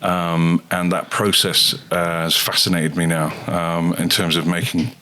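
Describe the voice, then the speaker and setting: A rugby coach talking casually as a guest, with many ums and pauses. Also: male voice, sits low. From England. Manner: hesitant, many ums, relaxed. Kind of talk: casual interview chat.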